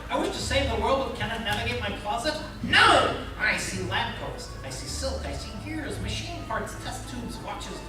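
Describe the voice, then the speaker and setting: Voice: medium pitch, lively intonation, moderate pace, hardly any pauses, loud music behind the voice, close to an everyday voice. An actor playing a snobbish robot tailor with flourishes, theatrical, catty and gushing in a comic radio play. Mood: catty, disdainful. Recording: echoey hall, distant microphone.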